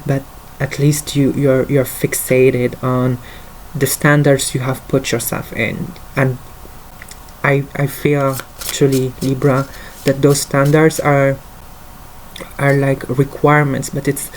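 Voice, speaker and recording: Man, French accent, tarot reader, casual and hesitant, slow talker, faint hum behind. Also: mid-range voice, a little mellow, rough recording. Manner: hesitant, full of "like" and "um" fillers. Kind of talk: casual tarot card reading.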